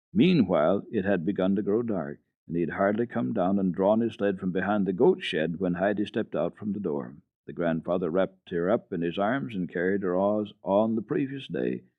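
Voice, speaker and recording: A man's voice: deep voice, no room sound. A man narrating a children's classic as a radio storyteller, reading dramatically. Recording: dull archival radio tape, dry.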